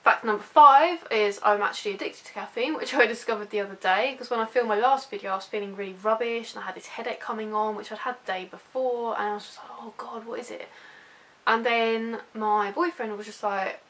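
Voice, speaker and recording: Bright-voiced young British woman chatting. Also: a little high, brisk. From United Kingdom. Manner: informal, rambling, self-deprecating. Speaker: YouTube vlogger. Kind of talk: casual personal chat to camera.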